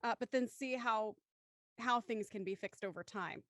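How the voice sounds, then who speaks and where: A woman's voice: a little high, normal speed, no echo, clean studio sound. A woman hosting a panel discussion, talking conversationally as she moderates. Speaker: host of a cast discussion.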